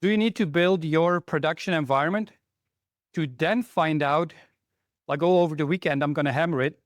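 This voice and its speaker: A man's voice: a little high, normal speed, no echo, studio quality. Middle-aged Belgian-born man presenting to a room. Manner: conversational, asks the audience questions. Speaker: conference speaker, technical trainer. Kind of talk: interactive conference talk. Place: conference room, in front of a live audience.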